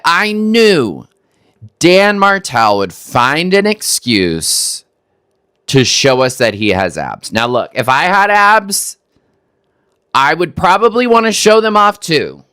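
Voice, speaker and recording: A man's voice: a little high, very animated, slow, pauses often, sharp tone, clear, resonant tone, no echo, clean studio sound. A man from Texas hosting a reaction video, making wry, skeptical remarks that stumble over restarts. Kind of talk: casual commentary on a clip.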